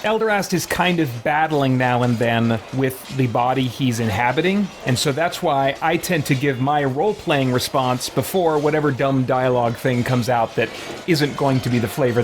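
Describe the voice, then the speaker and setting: A man's voice: mid-range voice, normal speed, noisy background. A man casually commentating a roleplay livestream of a video game, rambling and breaking off his sentences as he goes. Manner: rambling, self-interrupting, hesitant phrasing. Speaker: game streamer.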